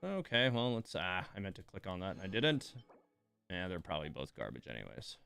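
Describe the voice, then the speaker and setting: A man's voice: low-pitched, very animated, a little fast, creaky, bright, forceful tone, soft background music. A man giving casual live commentary as he plays a game, muttering and grumbling his reactions. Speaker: game streamer.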